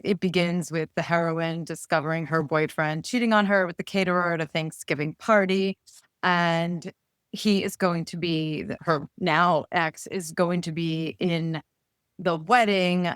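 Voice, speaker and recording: A woman with a smooth voice on the lower side talks as an interviewed author. She is upbeat and playful, telling stories in a conversational way. The recording is very dry. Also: no echo. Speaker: romance author being interviewed. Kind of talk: conversational book pitch.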